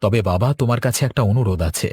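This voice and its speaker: A man's voice: on the low side, fast, darkish tone, slightly rough, dry recording. A man playing an earnest, resolute young village farmer, a dutiful son, in a cartoon. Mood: resigned, dutiful. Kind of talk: Bengali cartoon dialogue.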